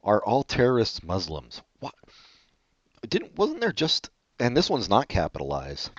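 Sarcastic man with a lively voice. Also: unhurried, no room sound. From California. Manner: sarcastic, deadpan jokes with sudden pitch jumps. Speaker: co-host reading online questions. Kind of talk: casual comedic banter. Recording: dull, muffled lo-fi audio.

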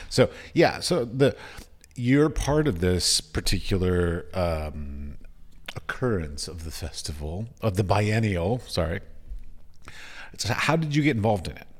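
A man's voice: sits low, sing-song, measured pace. A man from the United States interviewing a guest in casual chat, with a playful, jokey manner.

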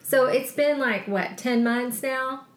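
A woman's voice: high-pitched, slow pace. A woman co-hosting a podcast with casual, chatty talk, laughing easily and poking fun at herself. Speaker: podcast co-host, chef. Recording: roomy echo.

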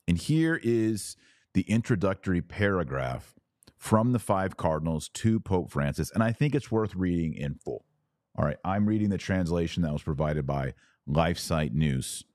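A man with a deep voice hosts a religious commentary podcast. He talks informally and with emphasis, explaining points and often checking that the listener follows. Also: a little mellow, no echo, studio quality. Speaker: podcast host.

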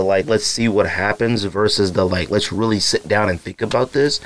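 A man's voice: fairly low pitch, low-quality recording. A young man hosting a podcast, talking casually and rambling, with many fillers and asides. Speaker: podcast host. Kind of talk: casual solo podcast talk.

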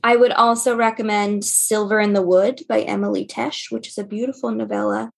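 A woman's voice: medium pitch, steady tone, a little fast, vocal fry. A woman giving book recommendations in a casual podcast chat, speaking with enthusiasm in loosely strung phrases.

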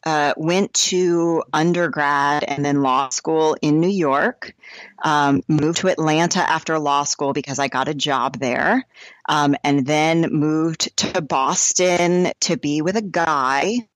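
A woman's voice: sits low, fairly even intonation, slow, dry recording. The enthusiastic, chatty American woman in her forties you would hear as the guest on a hobby runners' podcast, recorded dry and close. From New Hampshire, United States. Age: 40s. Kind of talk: casual conversational storytelling.

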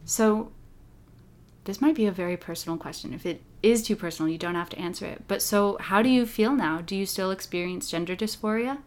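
A woman's voice: mid-range voice, a little fast. A woman with a mid-range voice hosts an interview. She asks careful, polite questions and words her remarks thoughtfully.

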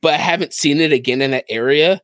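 A man's voice: on the high side, quick pace, a bit bright, no echo. A man talking casually as a podcast co-host, explaining things with enthusiasm. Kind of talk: casual chat about video games.

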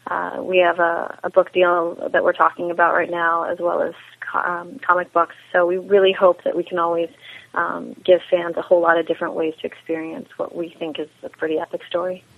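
A woman's voice: on the low side, monotone, fairly quick, creaky. A woman chatting casually as an interview guest about her creative work. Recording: thin telephone-like call audio.

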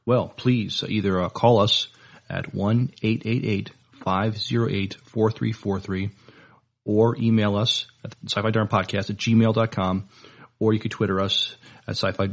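A man with a gravelly, husky voice co-hosts a casual podcast. His delivery is measured and a little halting. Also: on the low side, steady tone. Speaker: podcast co-host.

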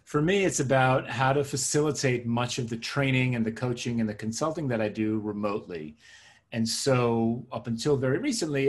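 A middle-aged man whose first language is Hebrew speaks in a mid-range, somewhat husky voice. He sounds like a thoughtful interview guest, working through ideas conversationally and restating phrases to stress them. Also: medium pitch. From born in Israel, raised in suburban New Jersey. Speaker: interview guest, tech consultant and coach.

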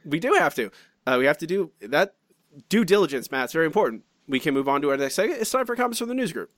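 A man co-hosting a podcast, reading out listener comments in chatty, animated fashion with frequent restarts and asides. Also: a little high, fast talker, no echo. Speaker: podcast co-host.

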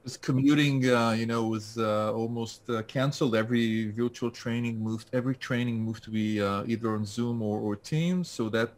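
A man's voice: mid-range voice, moderate pace, few pauses, rough recording. Hesitant man. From France. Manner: hesitant, many fillers and restarts. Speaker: guest, LinkedIn specialist. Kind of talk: casual interview over a call.

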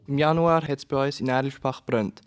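A man's voice: mid-range voice, steady tone, brisk. A teenage boy with a Swiss German accent presenting a scripted radio segment as a student host.

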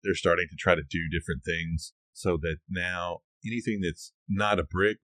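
A man's voice: deep voice, fairly even intonation, normal speed, a bit bright, slight background noise. A middle-aged man co-hosting a podcast, casually sharing facts in an informal, loosely structured way. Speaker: podcast co-host.

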